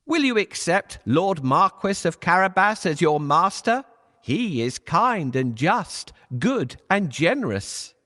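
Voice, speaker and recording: A man voicing a clever, courteous talking male cat in a storybook reading, flattering and sly in tone. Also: sits high, takes it slowly, pitched above the performer's own voice, full character voice. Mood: solemn.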